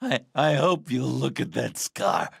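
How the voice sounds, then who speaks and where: An actor playing an unhinged, sentimental, wounded villain in a video game, theatrical and halting, with a raspy voice that slips into whispers. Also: male voice, mid-range voice, sing-song, very noisy, exaggerated voice. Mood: wistful, wounded. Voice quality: raspy, creaky.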